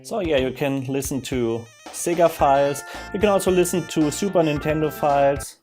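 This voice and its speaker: A man narrating a casual software screen demo in a halting way, thinking aloud as he goes. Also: a little high. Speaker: software demonstrator. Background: music from audio players being demonstrated.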